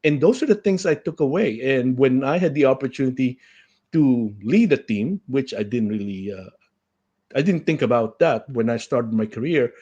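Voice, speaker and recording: A man from the Philippines with a mid-range voice speaks as an interview guest. He tells his story earnestly and at a measured pace. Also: mid-range voice, animated, warm tone, breathy edge, muffled recording. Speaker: guest, leadership coach. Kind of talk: interview answers.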